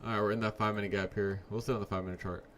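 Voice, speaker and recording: A man in his early twenties hosting a live trading stream, chatting casually with viewers in a laid-back, slangy way and letting his sentences trail off quietly. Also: lowish voice, flat delivery, rapid-fire, slight background noise. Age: 20s. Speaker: livestream trading host. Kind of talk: casual live-stream chat reading comments.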